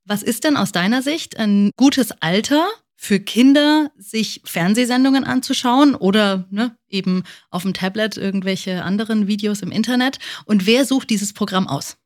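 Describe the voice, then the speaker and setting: Woman interviewer with a mid-pitched voice. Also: moderate pace. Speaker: interview host. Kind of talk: conversational interviewing.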